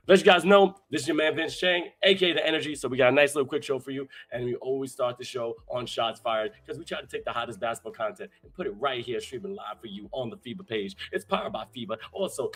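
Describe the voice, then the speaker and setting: A young adult man hosting a live streamed show, hyped and energetic, his voice hoarse from talking so much. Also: medium pitch. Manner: energetic, shouting out viewers in chat. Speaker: host.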